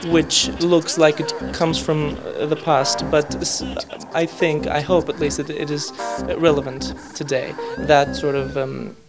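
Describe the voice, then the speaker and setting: Man, fairly high voice, thoughtful hesitant phrasing, interview, music behind. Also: level tone, a little slow, creaky, hardly any pauses. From Greece. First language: Greek. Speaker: filmmaker interviewed at a film festival. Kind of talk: informal on-camera interview.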